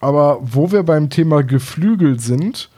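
A man's voice: fairly high pitch, animated, a little slow, mellow. A man co-hosting a casual discussion of books and audio dramas in a chatty, explanatory way. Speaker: podcast co-host.

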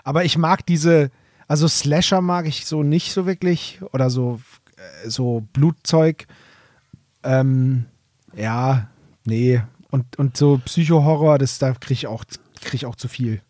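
A man's voice: mid-range voice, unhurried, darkish tone, no echo, clean studio sound. A man co-hosting a podcast, chatting casually in colloquial, slangy phrasing. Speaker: podcast co-host.

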